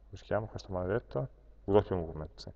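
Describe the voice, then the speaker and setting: A lower-pitched Italian man talks a class through writing code, pausing and filling gaps while he thinks aloud. The capture is dull and lo-fi, with a long hall echo trailing each phrase. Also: lively intonation, gravelly, dark tone. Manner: thinks aloud while typing code, many pauses and fillers. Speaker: university lecturer. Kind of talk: live-coding programming lesson.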